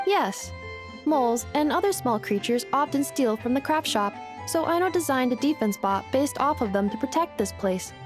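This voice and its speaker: High-voiced, precise robot girl assistant. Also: lively intonation. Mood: matter-of-fact. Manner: formal, measured, matter-of-fact explanations. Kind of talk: video game voice acting. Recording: faint music behind the voice.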